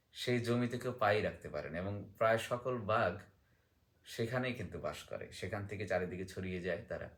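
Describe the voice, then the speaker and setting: A man telling folk tales in a conversational way, pausing often with fillers and small check-in questions. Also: fairly low pitch.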